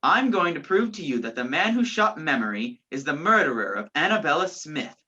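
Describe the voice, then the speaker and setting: A woman's voice: sits low, sing-song, fairly quick, bright, forceful tone, husky, full character voice. A woman playing a determined, commanding man on the run in a radio play. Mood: insistent, commanding. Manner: urgent, commanding. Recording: dull lo-fi virtual recording.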